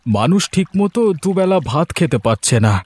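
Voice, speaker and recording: A man's voice: sits high, big pitch swings, brisk, a little mellow, a little hiss or hum. A man voicing a poor village rice farmer, weary but hopeful, earnest and plain-spoken, in a children's cartoon.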